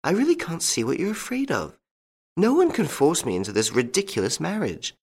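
Man voicing a gentle young woman, raised pitch. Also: wildly swooping pitch, a little fast, slightly rough, exaggerated voice. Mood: exasperated, coaxing. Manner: gentle, coaxing. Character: gentle, sensible young daughter of a duke.

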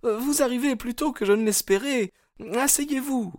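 Distraught young woman, acted by a male narrator, high pitch, anxious, gentle, pleading, storybook drama. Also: normal speed, no echo, clean studio sound. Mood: flustered, polite.

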